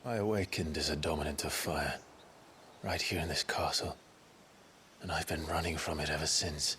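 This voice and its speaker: A man playing a grim, remorseful young warrior in a video game cutscene, speaking solemnly and deliberately. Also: rumbling low, fairly even intonation, bright, forceful tone, a little hiss or hum. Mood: remorseful, resolute.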